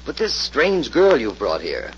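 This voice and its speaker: An actor playing an earnest, resigned doctor held captive, speaking formally in a 1940s radio drama. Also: male voice, mid-range voice, huge pitch swings, measured pace. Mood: pleading, grave. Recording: muffled, noisy old radio recording.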